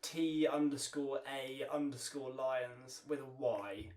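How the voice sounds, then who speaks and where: A man's voice: medium pitch, slow pace, a little mellow, a little hiss or hum. A man in his twenties chatting casually as a guest on a podcast. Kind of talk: casual chat. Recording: echoey room.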